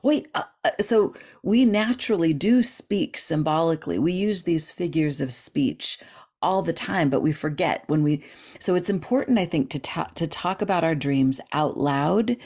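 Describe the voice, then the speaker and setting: A middle-aged woman with a low voice speaks in a relaxed, chatty way, explaining ideas with lively energy. She talks over a video call, warm and enthusiastic in delivery. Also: moderate pace. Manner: animated, gestures with hands while talking. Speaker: dream expert guest. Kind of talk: casual interview chat.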